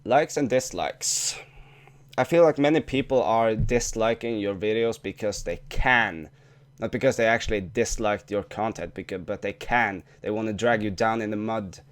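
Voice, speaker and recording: Young norwegian guy vlogging, kinda low smooth voice, swears and trips over words a lot. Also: normal speed. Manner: conversational, with frequent restarts and swearing. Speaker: YouTuber. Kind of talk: casual vlog talk to camera.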